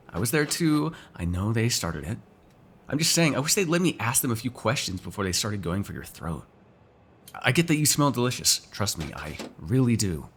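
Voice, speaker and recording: A man's voice: medium pitch, gravelly, a little hiss or hum. A man playing a sardonic, teasing, foul-mouthed vampire in an ASMR roleplay, talking casually to one listener. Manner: casual, teasing, sarcastic, swears freely.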